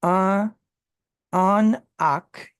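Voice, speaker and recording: Slow, halting Bible study teacher. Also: mid-range voice, fairly even intonation, clean tone, dry recording, studio quality. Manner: halting, many fillers and restarts while working through word meanings.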